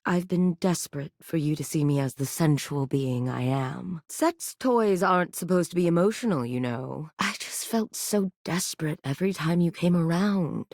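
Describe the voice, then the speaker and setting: An actress voicing a sultry, theatrical sentient sex toy in a video game, teasing and dramatic. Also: female voice, fairly low pitch, darkish tone, no room sound. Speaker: game character. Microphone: close studio mic. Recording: dry studio voice recording.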